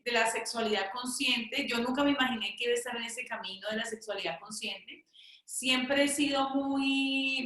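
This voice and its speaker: A woman with a Colombian accent giving a conversational personal testimony in a reflective way, pausing for short check-in questions. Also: on the high side, pushed and bright. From Cali, Colombia. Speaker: guest speaker, teacher. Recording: very echoey room sound.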